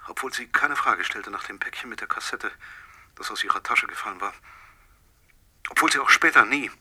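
An actor in a German radio play playing a brooding, coldly obsessive middle-aged husband who speaks with deliberate, quiet menace. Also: male voice, on the low side, full character voice. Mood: insistent. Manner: deliberate, insistent, drops into whispers.

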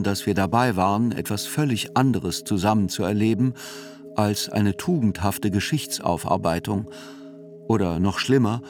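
Radio play narrator, male, sober and unhurried delivery of literary text, faint music underneath. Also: on the low side, a little mellow. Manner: deliberate, sober.

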